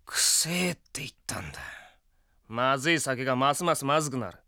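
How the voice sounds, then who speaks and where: A man's voice: medium pitch, very animated, a little fast, bright, forceful tone, no echo, clean studio sound. A man playing a cocky, sardonic gunslinger for hire in a Japanese audio drama, with a swaggering, taunting manner.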